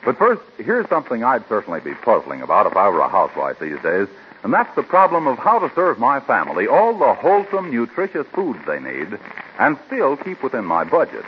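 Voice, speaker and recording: A man's voice: medium pitch, huge pitch swings, brisk. A man giving a polished, emphatic 1940s radio commercial as an announcer. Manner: polished, emphatic salesman delivery. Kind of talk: 1940s radio advertising read. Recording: dull, noisy 1940s radio recording.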